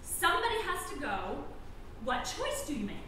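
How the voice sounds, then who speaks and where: A woman's voice: high-pitched, big pitch swings, moderate pace, heavily acted. An actress playing an intense wife in a drama, goading her husband with insistent, theatrical, melodramatic urgency. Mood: insistent, pressing. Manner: insistent, melodramatic, swooping into shouts. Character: intense, goading wife pushing her husband through a cruel imaginary game. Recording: roomy, distant, faint noise.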